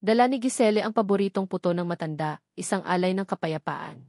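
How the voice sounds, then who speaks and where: A woman's voice: lowish voice, brisk, a little mellow, no echo, studio quality. A woman reading a scripted romantic drama as an audiobook narrator.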